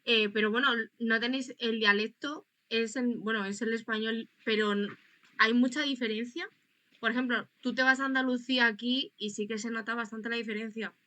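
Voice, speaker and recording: Young woman, northern Spanish accent. Chatty student interviewer with a sharp, bright, slightly high voice. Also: fairly even intonation, normal speed. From Spain. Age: young adult. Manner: chatty, colloquial. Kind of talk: informal interview.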